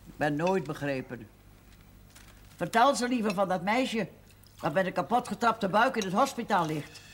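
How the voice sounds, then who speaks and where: A woman's voice: mid-range voice, expressive, normal speed, natural voice. An actress playing a pious, sardonic spinster aunt in her eighties in a television drama, solemnly declaiming like someone reciting scripture. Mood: aggrieved. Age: 80s. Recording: faintly noisy 1970s television soundtrack.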